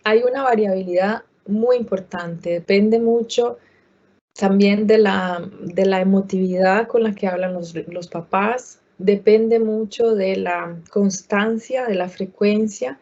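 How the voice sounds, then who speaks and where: Woman, expert speaker, webinar answers, many fillers and restarts, mellow tone, muffled call audio. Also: medium pitch, slow.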